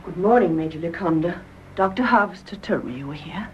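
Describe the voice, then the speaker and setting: Animated British young widow played by a woman. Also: lowish voice, brisk, close to an everyday voice. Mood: sad, gracious. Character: gracious, fragile newly widowed young wife. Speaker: actress in a radio drama. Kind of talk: radio drama acting. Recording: muffled radio recording with faint background noise.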